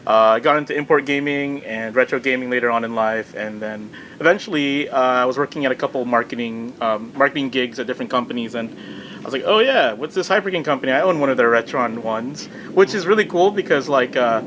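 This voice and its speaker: A man's voice: mid-range voice, a little fast, fairly bright tone, poor audio. Male podcast guest, mid-range voice, self-deprecating storyteller, conversational, slight background noise. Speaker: podcast guest, video game company public relations specialist. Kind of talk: casual group chat, telling anecdotes.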